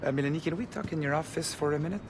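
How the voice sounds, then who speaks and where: A man playing a jovial, unhurried company executive in a video game. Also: lightly acted. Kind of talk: scripted video game acting. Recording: noisy rumble behind the voice.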